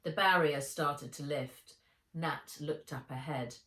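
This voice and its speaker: A woman author reading her children's adventure book aloud in an expressive storytelling style. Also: deep voice, slow pace, distant mic. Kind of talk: expressive children's audiobook narration. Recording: roomy, echoey room sound.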